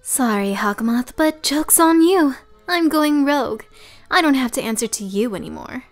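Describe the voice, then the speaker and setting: High, taunting young villainess played by a woman. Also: lively intonation, moderate pace, soft background music. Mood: mocking defiance. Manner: taunting, sneering. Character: mocking, vengeful young female villain gone rogue. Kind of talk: fan comic dub acting.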